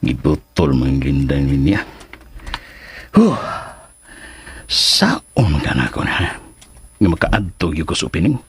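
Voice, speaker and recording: A man's voice: rumbling low, big pitch swings, slow pace, pauses often, breathy edge, heavily acted. A man playing a meddlesome, boastful old man in a Filipino radio drama, stammering, hesitant and given to exclamations. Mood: scheming. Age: older.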